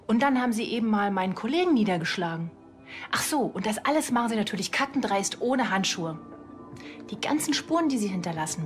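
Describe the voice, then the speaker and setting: An actress as a cheeky policewoman in a TV crime comedy, sarcastic and sure of herself. She rattles along quickly at a middle pitch, with faint music behind. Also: close to an everyday voice. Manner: sarcastic, confident. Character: brisk, cheeky, self-assured policewoman.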